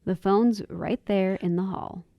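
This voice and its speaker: A woman's voice: mid-range voice, steady tone, measured pace, warm tone, dry recording, studio quality, lightly acted. A woman playing a kind, earnest and plain-spoken young woman in a radio drama. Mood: encouraging. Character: kind, candid young woman with a wistful side.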